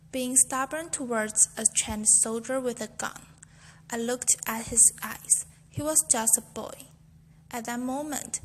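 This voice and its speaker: A woman's voice: on the high side, a little hiss or hum, poor audio. A young woman, a university student, reciting a memorized speech in a careful, earnest storytelling way. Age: young adult.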